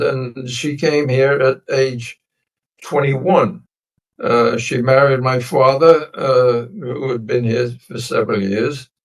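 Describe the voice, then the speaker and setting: A man in his nineties whose first language was a Sicilian dialect, slowly recounting family history as an interview guest in a meandering, reflective way. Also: medium pitch. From United States. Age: 90s. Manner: meandering, reflective storytelling with many pauses. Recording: voice distant from the mic.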